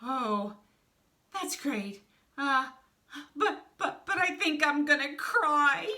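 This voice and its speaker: Woman voicing a timid, high-pitched storybook shark. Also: very animated, takes it slowly, bright, forceful tone, distant mic, heavily acted. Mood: tearful. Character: big, timid, tearful male shark, storybook character.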